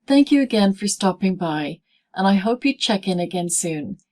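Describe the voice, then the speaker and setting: A woman presenting a scripted pronunciation lesson as a teacher, speaking in deliberate, clearly segmented phrases. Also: mid-range voice, animated, a little mellow. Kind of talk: scripted instructional narration in easy English.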